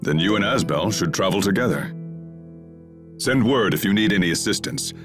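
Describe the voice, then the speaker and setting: A man playing a steady, authoritative middle-aged knight captain in a video game, formal and measured. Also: on the low side, over music, lightly acted. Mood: authoritative, kindly. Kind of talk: English video game voice acting.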